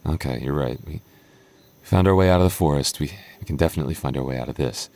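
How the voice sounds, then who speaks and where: A man's voice: a bass voice, a little fast, vocal fry, darkish tone. A young man voicing a goofy, doting goth boyfriend in an ASMR roleplay, talking in a stammering, self-teasing way with frequent laughs. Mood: uneasy. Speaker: voice actor.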